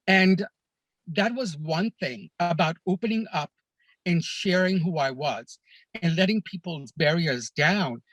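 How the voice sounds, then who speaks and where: High-voiced Kenyan-born man, earnest storyteller. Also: no room sound, poor audio. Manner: earnest, measured, with frequent "uh" and "you know" fillers. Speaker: podcast guest. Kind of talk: reflective storytelling in a conversation.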